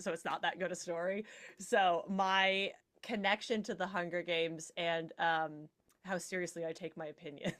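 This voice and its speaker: A woman's voice: lowish voice, a bit bright. A woman guest in a casual podcast discussion, talking in a jokey, playfully emphatic way.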